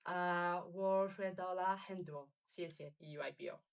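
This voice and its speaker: A woman whose first language is Greek, chatting at a roundtable with a slightly low voice. She talks in a halting way, with fillers and laughs between phrases. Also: steady tone, clean tone. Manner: hesitant, halting, laughs often. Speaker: trainee. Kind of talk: casual roundtable chat.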